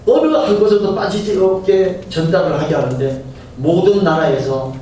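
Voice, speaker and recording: Middle-aged pastor preaching in an echoey hall. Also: male voice, sits high, animated, normal speed, dark tone. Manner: conversational preaching, asks the congregation questions. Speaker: senior pastor. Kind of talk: Sunday sermon. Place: church sanctuary. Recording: very echoey church hall from far off, faint hiss.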